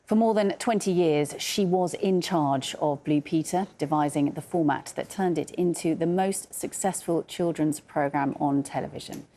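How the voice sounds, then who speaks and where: A British woman presents the news in a fairly low voice. She moves between studio chat and interviewing as a broadcast host. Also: moderate pace, hardly any pauses, mellow.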